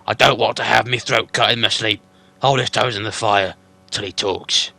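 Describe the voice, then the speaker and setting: A man reading aloud, voicing a quarrelsome, suspicious male troll who speaks in loud, rough dialect. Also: lowish voice, steady tone, moderate pace, full character voice. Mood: menacing.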